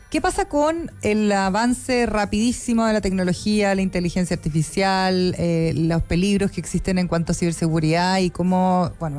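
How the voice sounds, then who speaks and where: A woman's voice: mid-range voice, darkish tone. A woman hosting a radio interview, asking long, multi-part questions. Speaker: radio host. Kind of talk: radio talk show interviewing.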